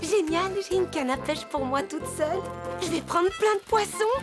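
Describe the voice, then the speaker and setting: A small, chatty female animal in a children's cartoon, very high in pitch. She is dramatic, emphatic and superstitious, with prominent music behind her. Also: expressive, moderate pace. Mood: eager.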